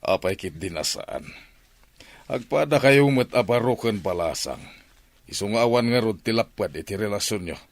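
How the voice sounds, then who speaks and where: A man's voice: on the low side, animated, moderate pace, no room sound. A man playing a concerned, firm father questioning his grown daughter in audio drama dialogue. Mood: reasoning.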